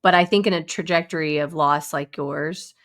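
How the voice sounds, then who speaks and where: A woman hosting a podcast interview, speaking gently and reflectively. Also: deep voice, moderate pace. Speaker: podcast host. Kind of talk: empathetic interview conversation.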